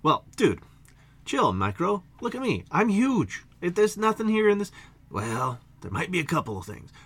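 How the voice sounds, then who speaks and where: A huge, easygoing male dude drawls along in relaxed slacker slang, as a man voices him. He sounds unhurried and unbothered. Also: high-pitched, breathy edge, pitched above the performer's own voice, heavily acted. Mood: reassuring.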